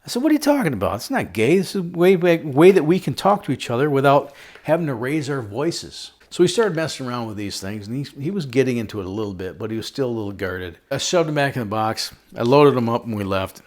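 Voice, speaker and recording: A man's voice: medium pitch, expressive, fast talker, few pauses, a little mellow. A middle-aged American man chatting casually through a product review in a folksy, jokey, storytelling way. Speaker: host of a product review video.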